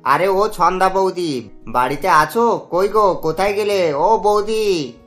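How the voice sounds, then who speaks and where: A man's voice: high-pitched, measured pace, fairly bright tone, faint music behind. A man voicing a sly, meddling older neighbour in a family cartoon, with an insinuating, gossipy manner. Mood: falsely friendly. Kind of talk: cartoon voice acting.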